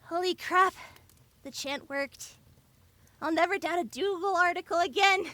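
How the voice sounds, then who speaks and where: A woman playing an excitable, sarcastic teenage girl heroine in a fan audio drama. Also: very high voice, measured pace, dry recording, exaggerated voice. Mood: amazed.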